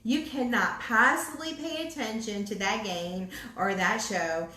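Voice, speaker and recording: おしゃべりな女性の会話、響く部屋. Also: female voice, mid-range voice, bright, forceful tone. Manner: chatty, quick, self-described chatterbox. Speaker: ministry co-host. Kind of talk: casual marriage talk. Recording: echoey room, faint background noise.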